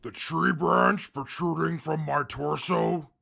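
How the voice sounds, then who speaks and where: A man's voice: a little high, fairly even intonation, slow, vocal fry, sharp tone, exaggerated voice. A man playing a wounded super mutant in an audio drama, panicked and indignant, with oddly formal wording. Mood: dazed, dry.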